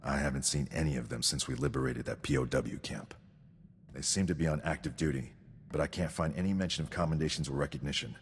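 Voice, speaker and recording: An actor playing a stern, dutiful sergeant in a video game, speaking formally and precisely, coldly devoted to victory. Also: male voice, a bass voice, quick pace, in a large hall, a little hiss or hum. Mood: stoic. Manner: formal, precise, recites statistics. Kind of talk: scripted video game acting.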